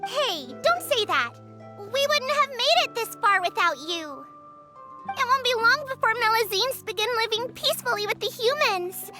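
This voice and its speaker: Small earnest creature girl for a game: childlike, very high, highly animated, determined. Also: moderate pace, loud music behind the voice. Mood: cheerful. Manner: earnest, pleading. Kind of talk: game character acting.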